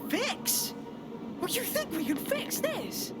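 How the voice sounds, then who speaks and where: A woman playing a cynical, bitter hacker villain in a video game, speaking in a mocking, deliberate, theatrical way. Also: extremely high pitch, very animated, full character voice. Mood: scornful. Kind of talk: video game cutscene acting. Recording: loud music under the voice.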